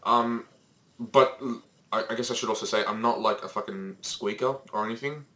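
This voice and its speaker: Teen male co-host, low-ish voice, rambling, sweary, fillers. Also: normal speed, sharp tone, poor audio. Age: teens. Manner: swears freely, rambling with many fillers and restarts. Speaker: podcast co-host. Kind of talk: casual chat. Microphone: Skype call recording.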